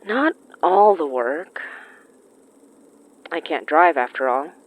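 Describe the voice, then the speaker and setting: An actress playing a young woman with magic in a horror audio drama, polite and quietly determined, speaking in a hesitant, dryly teasing way. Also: low voice, lively intonation, takes it slowly, heavily acted. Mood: wry, shy. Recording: slightly dull, dry studio sound.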